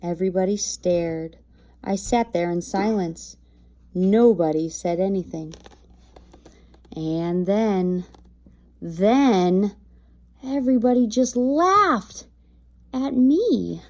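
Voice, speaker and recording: A woman reading a children's picture book aloud expressively, with animated emphasis, acted sound effects and chatty asides to her listeners. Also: mid-range voice, takes it slowly, long pauses. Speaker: read-aloud storyteller.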